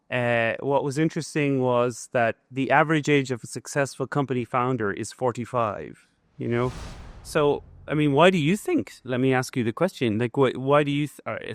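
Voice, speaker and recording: A man's voice: medium pitch, no room sound, clean studio sound. A man hosting a podcast as a professor, talking loosely with uhs and restarts. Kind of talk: podcast interview and intro.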